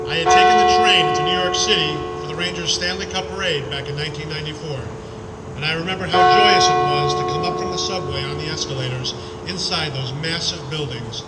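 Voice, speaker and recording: A man with a slightly high voice delivers a written remembrance speech, solemn and measured. He is picked up from a distance outdoors, with loud ambient noise and vehicles around him. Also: roomy sound. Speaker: speaker at a memorial service. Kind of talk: prepared tribute speech read aloud, first-person recollection. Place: outdoors on a town green at a public service.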